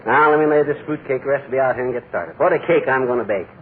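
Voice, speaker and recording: An actor playing a boastful, bumbling middle-aged husband in a 1940s radio comedy, talking in a brash, wisecracking way. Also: male voice, mid-range voice, quick pace, lightly acted. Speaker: comic lead of a radio sitcom. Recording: noisy 1940s radio broadcast, lo-fi.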